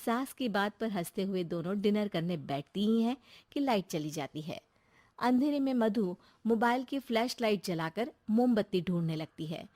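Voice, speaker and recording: A woman narrating a cartoon story in an even, storytelling manner. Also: mid-range voice, moderate pace.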